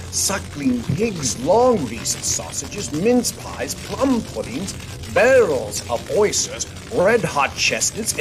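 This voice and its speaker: A man narrating a radio play in a theatrical storybook style with deliberate phrasing. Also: fairly high pitch, poor audio. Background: music.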